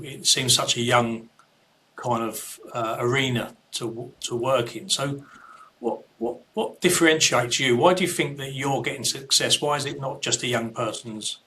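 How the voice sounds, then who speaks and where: A man's voice: mid-range voice, pushed and bright, slight background noise. A man in his fifties hosting an interview, asking loosely phrased, rambling questions. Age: 50s. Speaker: podcast host. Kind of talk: casual interview conversation.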